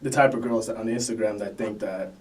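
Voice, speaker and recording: A man's voice: lowish voice, fairly even intonation, brisk, recorded from a distance. A young man from Canada, a guest rapper talking casually in an interview, loose and laid-back with fillers.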